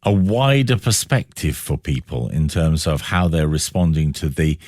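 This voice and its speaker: A man hosting a radio interview, speaking in deliberate, building phrases with rhetorical questions and repetition. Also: very deep voice, expressive, a little mellow, no room sound, studio quality. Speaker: radio host.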